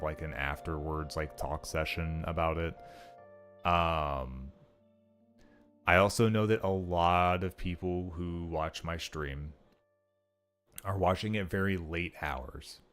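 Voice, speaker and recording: A young man with a deep, low voice talks casually on a stream, wandering through his thoughts and peppering every sentence with filler words. Faint music plays underneath him. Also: a bit bright. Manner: rambling, heavy on "like" fillers. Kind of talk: casual livestream chat.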